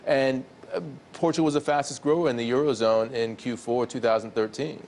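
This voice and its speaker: A man's voice: medium pitch, steady tone, a little fast, a little mellow, a little hiss or hum. A man giving economic analysis as a regular TV guest, hesitant with lots of fillers, walking through figures step by step. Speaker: economics commentator. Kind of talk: TV interview analysis.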